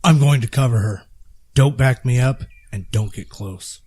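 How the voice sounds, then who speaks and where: A man playing a stern fighter who leads a small squad in an audio drama, terse and husky. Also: medium pitch, normal speed, darkish tone, close to an everyday voice. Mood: commanding. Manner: terse, authoritative.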